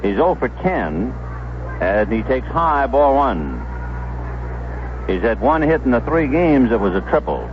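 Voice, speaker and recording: A man's voice: mid-range voice, very animated, measured pace, long pauses, lo-fi sound, noisy background. A man giving live play-by-play baseball commentary as a radio announcer on a 1970s broadcast.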